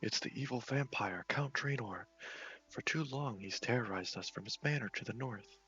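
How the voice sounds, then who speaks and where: A man voicing a distressed villager in a fantasy game, pleading earnestly and theatrically for an adventurer's help, in soft role-play. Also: mid-range voice, normal speed, lo-fi sound, soft background music. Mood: grave, anxious. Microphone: headset microphone.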